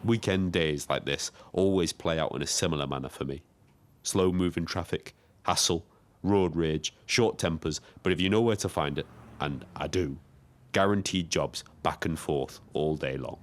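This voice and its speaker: An actor playing a lonely, observant young British taxi driver, narrating in a measured, wry, literary way. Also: male voice, rumbling low. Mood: wry, reflective. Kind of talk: scripted first-person narration.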